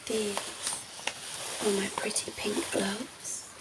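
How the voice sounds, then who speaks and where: Woman whispering as a slow, ditzy, flirty vampire. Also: medium pitch, flat delivery, very noisy, close to an everyday voice. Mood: chatty, whimsical. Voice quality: breathy, often whispered. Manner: slow, teasing, coy, giggly. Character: ditzy, flirty female vampire, cheeky pink-lover. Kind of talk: ASMR roleplay, soft speech and whispering.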